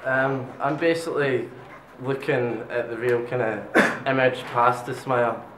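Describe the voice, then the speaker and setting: A man, a guest artist speaking softly and deadpan. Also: mid-range voice, a little slow. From Florence, Italy. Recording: echoey room with faint noise.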